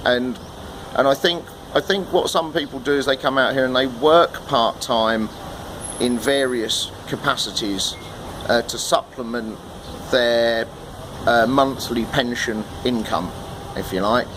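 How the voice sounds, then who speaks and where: A man's voice: medium pitch, a little slow, noisy background. A man in a casual vlog who chats to the camera from an outdoor balcony, often repeating and restarting his words. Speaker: vlogger.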